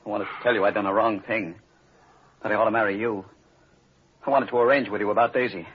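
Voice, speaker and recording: An actor playing a bitter, guilt-stricken suitor, grave and accusing in clipped lines, in an old-time radio drama. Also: male voice, on the low side, steady tone, fast talker, slightly rough. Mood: grave, remorseful. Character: bitter, guilt-stricken suitor who loathes his accomplice. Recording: dull, noisy old radio recording.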